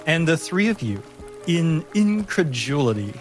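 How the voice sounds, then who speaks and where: A man runs a tabletop fantasy game with dramatic narration. He is deliberate and slow, theatrical in delivery, with music clearly playing behind him. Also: a little high, big pitch swings. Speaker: game master.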